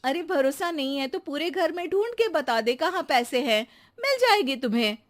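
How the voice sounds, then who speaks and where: A woman's voice: high voice, animated, normal speed. A woman playing a teasing, exasperated housewife who scolds her maid in a comic cartoon story. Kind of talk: comic animated story dialogue.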